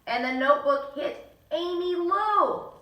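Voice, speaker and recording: A woman co-hosting a bedtime story reading, with a lively voice that rises and falls a lot. Her tone is bright, and she leaps high when she voices the characters. She sits back from the mic in a roomy space. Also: measured pace. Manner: lively, jumps way up in pitch for character lines. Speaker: co-host and storyteller. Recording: echoey room, mic at a distance.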